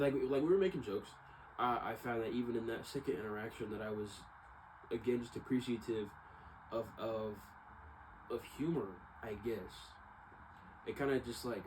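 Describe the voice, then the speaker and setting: A man's voice: fairly low pitch, noisy background. A young man with an American accent, talking casually and reflectively in a rambling personal monologue, often repeating himself. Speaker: vlogger.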